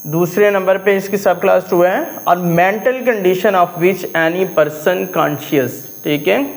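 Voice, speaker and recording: A man's voice: high-pitched, rough recording. A man with a Pakistani accent teaching law in a classroom lecture style, reading clauses out word by word and then explaining them with frequent check-in tags. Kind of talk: classroom-style explanatory lecture mixing Urdu with English legal terms.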